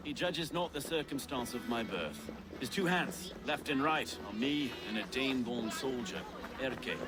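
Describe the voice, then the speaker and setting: A man's voice: medium pitch, natural voice. A male actor with a British accent playing an affable, burly medieval London reeve in a video game, courteous and wry. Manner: courteous, wry, old-fashioned turns of phrase. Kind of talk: video game dramatic dialogue.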